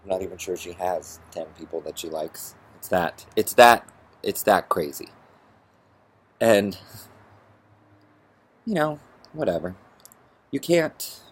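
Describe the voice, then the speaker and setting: A man with a husky voice ranting through a personal story in an exasperated, sarcastic, profane way. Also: sits low, lively intonation, slow pace, pauses often. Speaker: vlogger.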